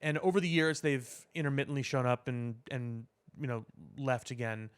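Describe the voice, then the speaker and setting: A man with a clear, resonant voice in a medium register chats as a podcast co-host. He explains things at length, letting one clause run into the next in a casual, discursive way. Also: mid-range voice, fairly even intonation, normal speed. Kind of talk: casual pop culture discussion.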